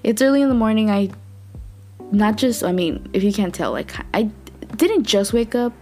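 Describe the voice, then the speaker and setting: Young woman, podcast host, casual chat, slightly raspy, bubbly, laughs easily. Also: mid-range voice, animated, moderate pace, soft background music. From Southern California. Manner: chatty, bubbly, laughs often, quiet morning talk.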